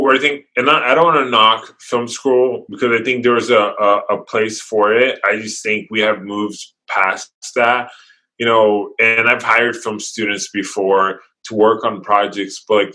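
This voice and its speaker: Guy w/ english as second language, bright punchy voice, energetic, keeps restarting sentences. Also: fairly low pitch. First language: not English. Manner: energetic, talks in quick runs with restarts. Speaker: guest videographer. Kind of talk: casual interview chat.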